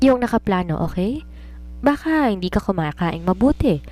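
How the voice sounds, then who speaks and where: A woman's voice: mid-range voice, big pitch swings, moderate pace, mellow, faint music behind, lightly acted. A woman voicing a doting, nagging widowed mother and businesswoman, mixing Tagalog and English in an affectionate scolding way. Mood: fond, fussing. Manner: Taglish, scolding yet affectionate.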